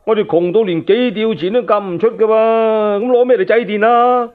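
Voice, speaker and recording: A man doing a high, fretting penniless commoner. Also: steady tone, normal speed, close to an everyday voice. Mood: worried protest. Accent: Cantonese. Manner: complaining, questioning. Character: penniless, worried commoner objecting. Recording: narrow-band, phone-like radio recording.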